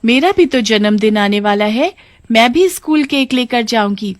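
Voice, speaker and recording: Woman voicing a shy, high-voiced young schoolgirl. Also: moderate pace, no room sound, lightly acted. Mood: gentle. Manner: high, pleading and bright.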